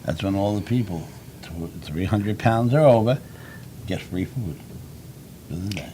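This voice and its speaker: A man's voice: deep voice, expressive, a little slow, slight background noise. A man co-hosting a live web show, trading crude, mocking jokes in casual banter. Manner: loud, mocking, crude joking.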